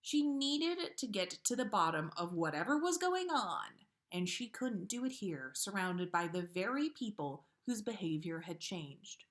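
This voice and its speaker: Expressive woman, informal storyteller. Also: medium pitch, measured pace, few pauses. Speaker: podcast host reading listener stories. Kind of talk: casual livestream chat, then storybook read-aloud.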